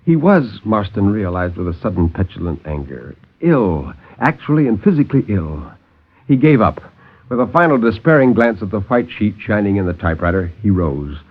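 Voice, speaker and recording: A man's voice: on the low side, sing-song, moderate pace, husky. A man reading a horror story theatrically, in a literary 1950s radio storytelling style, on a muffled old recording with music. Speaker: storyteller, co-host.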